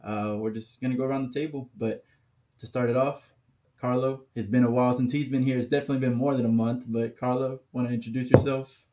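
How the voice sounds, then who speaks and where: A man's voice: mid-range voice, fairly even intonation, fast talker, warm tone. A man hosting a casual comics chat, laid-back and laughing easily, on a video call. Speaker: podcast host.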